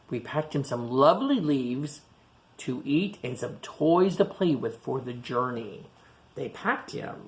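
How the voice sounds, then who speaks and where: Woman, deep-pitched, leisurely pace, children's read-aloud, questioning asides to young listeners. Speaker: storytime reader.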